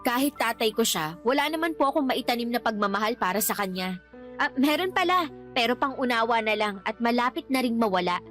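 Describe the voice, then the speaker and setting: Exasperated young Filipina daughter, high-pitched. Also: female voice, animated, brisk, a bit bright, soft background music. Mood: bitter. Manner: exasperated, complaining. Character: resentful, outspoken grown daughter, fed up but respectful. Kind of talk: radio drama acting.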